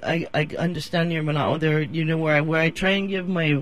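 A man's voice: a little high, steady tone, fairly bright tone. A man hosting a radio interview, affirming his guest and joking lightly. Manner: affirming, quick to joke. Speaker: radio host.

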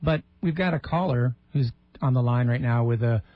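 A man's voice: mid-range voice, normal speed. A man hosting a radio call-in show, making wry, joking asides to his guest. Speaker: radio talk show host. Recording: dull radio audio.

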